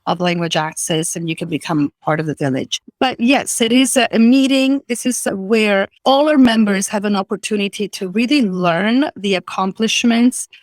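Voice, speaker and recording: A woman's voice: medium pitch, normal speed, few pauses, no echo. A middle-aged woman with an Italian accent, explaining her organization's work earnestly as an interview guest. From Italy. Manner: earnest, explanatory, frequent restarts. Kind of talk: virtual interview.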